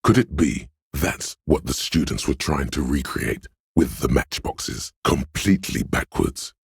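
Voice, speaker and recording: A man voicing a wry, erudite inner voice that narrates a detective's thoughts in a video game, deliberate and literary, with a gravelly husky tone. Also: extremely low pitch, lively intonation, no echo, clean studio sound, exaggerated voice. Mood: measured, wry. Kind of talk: scripted second-person narration for a role-playing video game.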